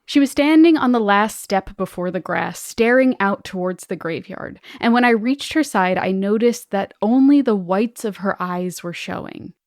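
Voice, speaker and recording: A woman's voice: medium pitch, lively intonation, normal speed, few pauses, a little mellow, no room sound, studio quality. A woman co-hosting a podcast, reading listener stories aloud expressively with casual asides.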